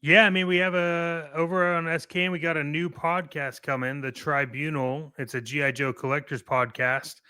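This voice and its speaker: A man co-hosting a toy collectors' show, giving casual, playful promotional shout-outs like a pitchman. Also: level tone, normal speed, few pauses, smooth, no echo.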